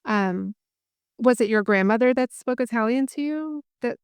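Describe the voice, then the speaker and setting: Casting: a woman interview host, smooth voice with a touch of vocal fry, dry close mic. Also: a little high, normal speed, no room sound. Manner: warm affirming, many fillers. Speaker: podcast host. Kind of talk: interview conversation.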